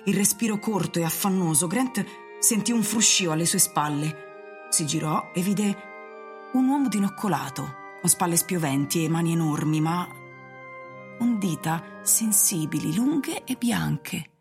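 A woman's voice: fairly low pitch, animated, measured pace, darkish tone. A woman narrator reading scripted literary narration for an Italian radio drama. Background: music.

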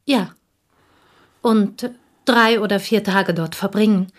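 A woman's medium-pitched voice in a radio play, as a reserved provincial schoolteacher. She is polite and guarded, choosing her words in a measured, factual manner. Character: reserved village schoolteacher, loyal confidante of the dead wife. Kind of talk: German radio drama acting.

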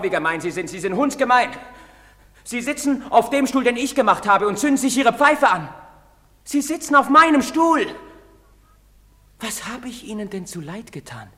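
An actor playing a bitter, accusing young apprentice of twenty in a radio drama. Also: male voice, high voice, fairly bright tone. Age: 20s. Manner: bitter, accusing outbursts, trembling. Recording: long hall reverb.

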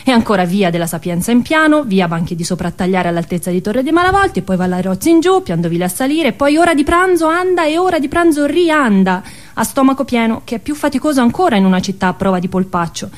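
A woman with a Tuscan accent tells a first-person story as an actress in a stage monologue. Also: a little high.

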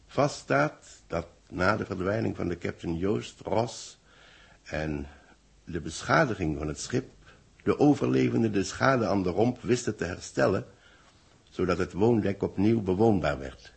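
A man in a radio drama playing a grave, weary middle-aged official who speaks in solemn, measured announcements. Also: fairly low pitch, animated, gravelly, husky, full character voice. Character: grave, weary male official announcing tragedy to a doomed world. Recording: vintage 1960s radio drama recording.

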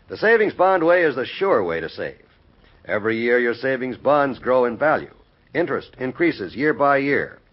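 A slightly muffled vintage radio recording carries a male announcer. His mid-pitched voice is very animated, reading ad copy in a polished, persuasive 1950s manner. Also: breathy edge. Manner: polished, measured persuasive delivery.

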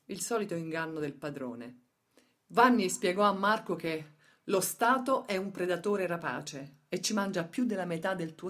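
A grave female storyteller in a radio play. Her voice sits low, and she phrases each line in a measured, clipped way, leaning on key words with dramatic weight. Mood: sombre. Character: grave female storyteller recounting workers' tragedies. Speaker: stage and radio actress. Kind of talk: dramatic scripted radio theatre narration.

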